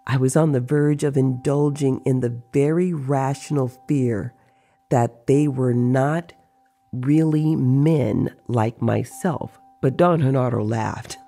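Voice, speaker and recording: A man's voice: mid-range voice, slow pace, gravelly, mellow, faint music behind. A man narrating in a dramatized, literary storytelling style.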